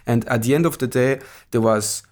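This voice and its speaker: A middle-aged man from Switzerland whose first language is French talks with a clear French accent. His voice sits in a mid range, and he explains his work in a hesitant way, full of fillers and small restarts. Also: medium pitch, normal speed, darkish tone. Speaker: guest, academic. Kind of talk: podcast interview.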